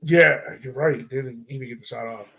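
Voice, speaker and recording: A man's voice: mid-range voice, moderate pace, creaky, a bit bright. A man hosting a sports podcast, talking through a match in an informal, chatty, loosely phrased way. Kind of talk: casual match analysis chat.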